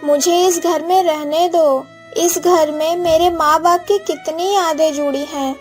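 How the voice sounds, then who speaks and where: High-voiced, earnest orphan village girl played by a woman. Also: steady tone, a little slow, soft background music. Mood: tearful pleading. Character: wronged orphan village girl, pleading, later proud shopkeeper. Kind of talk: Hindi moral-story cartoon dubbing.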